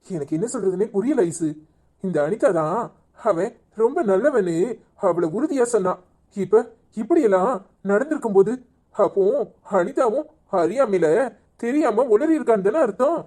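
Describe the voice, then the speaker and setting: A man's voice: high voice, animated, moderate pace, warm tone. A man playing a troubled, apologetic family man, worried and deferential, in a cartoon village drama.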